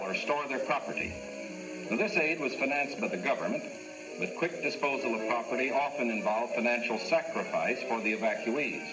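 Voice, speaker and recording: Male newsreel narrator, 1940s, formal and authoritative, music bed clearly audible. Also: mid-range voice, low-quality recording. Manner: measured, authoritative, official tone. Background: music score.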